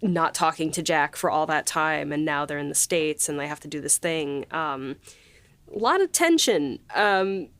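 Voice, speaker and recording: A woman's voice: deep voice, animated, normal speed, a bit bright, clean tone. A woman hosting a casual panel chat, chatty and effusive, often feeling her way through a thought. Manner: chatty, hesitant, effusive in praise. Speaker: podcast host and series writer.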